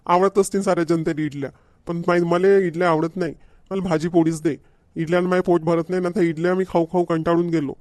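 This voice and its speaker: Man voicing grumbling husband, Varhadi Marathi accent, comedy cartoon, high male pitch, demanding, dry sound. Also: little pitch movement, fast, a little mellow, no room sound, natural voice. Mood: annoyed, insistent. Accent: Varhadi Marathi from Vidarbha. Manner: nagging, complaining, repetitive. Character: grumbling, demanding village husband, fussy about food.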